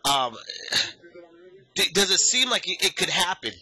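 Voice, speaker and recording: A man's voice: sits high, very animated, a little slow, a loud crowd. A man asking interview questions in a brief, conversational way.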